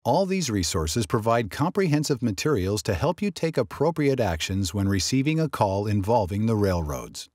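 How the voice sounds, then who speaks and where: Low-voiced man narrating a training video. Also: sing-song, darkish tone, dry recording, clean studio sound. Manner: measured, instructional. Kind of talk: scripted narration.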